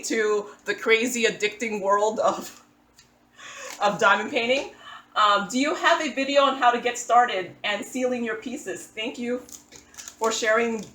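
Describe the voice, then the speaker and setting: A woman's voice: on the high side, a bit bright, reverberant, a little hiss or hum. A woman from the Philippines chatting casually as she talks through her craft setup, self-deprecating with small restarts. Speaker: crafting channel host. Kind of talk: casual voice-over chat while working on a craft. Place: indoors at a table.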